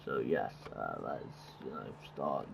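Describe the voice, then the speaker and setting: Creaky-voiced man rambling as he streams. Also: extremely low pitch, animated, background noise. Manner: rambling, halting, repeats himself. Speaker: game streamer. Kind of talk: casual gameplay commentary.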